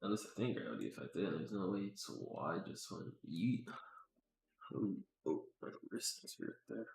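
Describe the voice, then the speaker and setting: A man's voice: sits low, sing-song, normal speed, creaky, darkish tone. A man thinking aloud casually on a livestream as he works, muttering to himself in slangy, sweary talk. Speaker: game developer live-streaming work. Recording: roomy echo.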